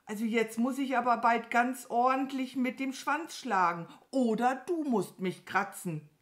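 A woman voicing a carefree, good-natured, childlike cow in a children's story, with a naive and unhurried manner. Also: on the high side, pitched above the performer's own voice, close to an everyday voice. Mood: itchy, restless. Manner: naive, unhurried, moos before speaking.

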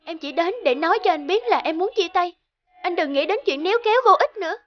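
A woman's voice: very high voice, lively intonation, fast talker, lo-fi sound, slight background noise. A woman voicing a young ex-girlfriend in a cartoon, curt and dismissive. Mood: cold. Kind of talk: cartoon voice acting. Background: background music.

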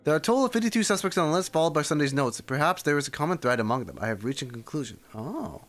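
A man's voice: fairly high pitch, lively intonation, racing, fairly bright tone. A man giving casual live commentary on a game, muttering offhand asides and skimming text aloud. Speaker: streamer reacting to a game story. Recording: faint game music behind. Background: video game music.

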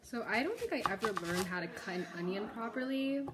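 A young woman hosts a casual home cooking video with a joking, giggly manner. She is recorded on a nearby camera in a kitchen. There is clear noise behind her, with dishes and pans clinking. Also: on the high side, lively intonation, fairly quick. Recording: clearly noisy room sound.

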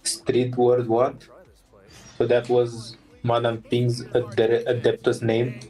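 A woman's voice: extremely low pitch, completely flat delivery, slow pace, mellow, poor audio. A woman streamer giving casual, off-the-cuff commentary while playing a video game. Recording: game music behind the voice.

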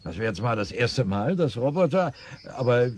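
A man's voice: mid-range voice, normal speed, a little hiss or hum, lightly acted. A man playing a shrewd lawyer in a 1970s radio play. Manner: lively, reasoning aloud.

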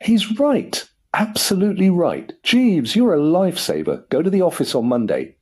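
A man voicing an excitable young male painter, anxious at times and wildly excited at others. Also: fairly low pitch, unhurried, slightly rough, heavily acted. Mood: elated.

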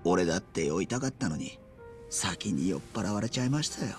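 An actor playing a young yakuza underling in a video game, casual and rough-edged, exasperated yet deferential. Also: male voice, sits low, lively intonation, brisk, a little mellow, soft background music. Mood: apologetic. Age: young adult. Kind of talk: Japanese video game voice acting.

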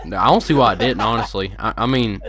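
A man's voice: on the low side, fast talker, fairly bright tone. A man making wisecracks with friends in casual online gaming chat. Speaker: gamer in voice chat.

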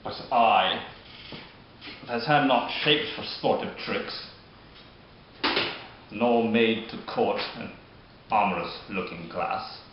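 A man playing a bitter, scheming, deformed nobleman, declaiming a theatrical Shakespearean monologue deliberately, with dramatic pauses. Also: lowish voice. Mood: bitter self-loathing. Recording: echoey room, muffled, faint hiss.

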